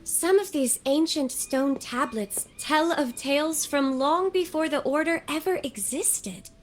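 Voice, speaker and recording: A gentle, earnest young scholar from a fantasy game. Her voice sits high, and she speaks in measured, articulate, softly formal phrases over background music. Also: a little hiss or hum. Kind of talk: video game character dialogue.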